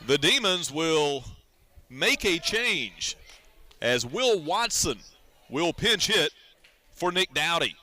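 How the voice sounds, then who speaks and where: Male radio baseball announcer, middle-aged, fairly high and very bright, punchy voice. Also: big pitch swings, slow pace, long pauses. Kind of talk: live radio sports commentary.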